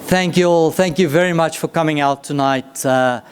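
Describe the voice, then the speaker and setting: Man, executive, slightly higher pitch, proud emphatic speech, faint background rumble. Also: moderate pace. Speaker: executive speaker. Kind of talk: event speech.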